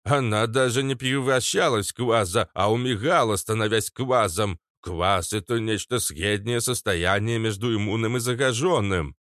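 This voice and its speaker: Мужчина играет скрипучего старого лекаря. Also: male voice, on the low side, normal speed, few pauses, fairly bright tone, no echo, clean studio sound, voice pushed up, lightly acted. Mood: explaining. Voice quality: creaky, scratchy. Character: old male healer, grumbling know-it-all explainer.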